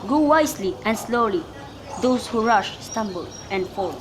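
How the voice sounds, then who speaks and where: A woman playing a holy friar and gently scolding mentor in an amateur radio play, reciting carefully with small stumbles. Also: on the high side, animated, a little slow. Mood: chiding. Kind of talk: student radio drama, recited modern Shakespeare. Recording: clear background noise with birdsong.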